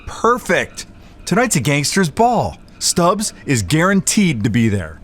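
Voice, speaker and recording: A man's voice: on the high side, huge pitch swings, a little slow, fairly bright tone, a little hiss or hum, exaggerated voice. A man playing a cocky, slangy, dim-witted tough guy in a 1940s noir audio drama. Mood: cocky, eager. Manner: slangy, brash, casual.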